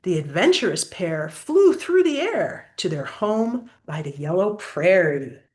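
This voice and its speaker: A woman's voice: lowish voice, big pitch swings, unhurried. An older woman reading a children's picture book aloud expressively, like a grandmother pointing out pictures to a child.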